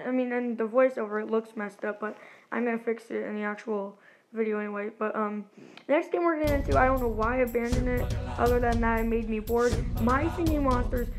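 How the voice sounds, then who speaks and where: A young woman hosting a gaming channel, rambling through a casual voice-over with many ums and restarts. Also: a little high. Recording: loud game music behind. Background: loud music.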